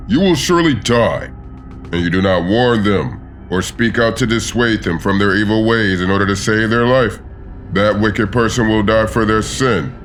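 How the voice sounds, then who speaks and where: A man's voice: fairly low pitch, voice pushed up. A man voicing God in a dramatized scripture reading, stern, solemn and commanding, with emphatic, declamatory delivery. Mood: solemn warning.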